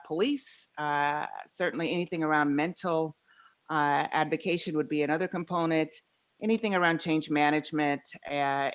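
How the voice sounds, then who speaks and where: A mature woman of fifty or more with a low-pitched voice introduces herself at an online meeting. She speaks over a video call as a professor joining a task force. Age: 50s or older.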